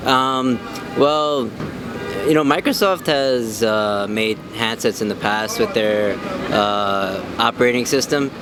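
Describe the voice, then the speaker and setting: A man giving casual, hesitant, halting interview answers. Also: mid-range voice, over music. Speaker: member of the public being interviewed. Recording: noisy outdoor urban street with traffic.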